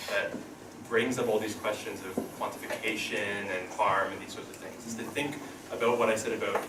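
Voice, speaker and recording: A low-voiced man on an academic panel, thinking aloud with halting restarts and tag questions. The mic sits far from him in a reverberant seminar room, with a noisy backdrop. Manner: thoughtful, hesitant restarts, tag questions like right. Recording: roomy echo, distant mic, noisy background.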